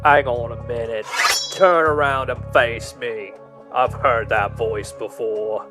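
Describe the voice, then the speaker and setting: An actor playing a suspicious castle guard in a fantasy audio drama, gruff and challenging. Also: male voice, mid-range voice, fairly even intonation, slow, over music, close to an everyday voice.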